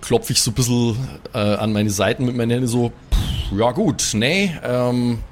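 A man with a medium-pitched voice improvises as a player in a role-playing podcast. He talks in a colloquial, casual way, staying in character. There is faint noise in the background. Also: normal speed.

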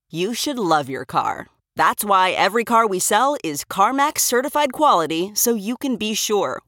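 A woman's voice: medium pitch, a bit bright, no echo, studio quality. A woman reading a scripted car commercial as an advertising voice-over.

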